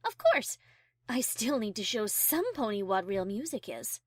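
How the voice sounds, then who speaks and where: A haughty, high-society mare played by a woman in a storybook drama. Her voice sits a little high and swings widely in pitch. She is prim, formal and competitive, recorded dry and close. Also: sing-song, measured pace, dry recording. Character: haughty high-class female pony cellist, prim and competitive. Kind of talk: audiobook character acting.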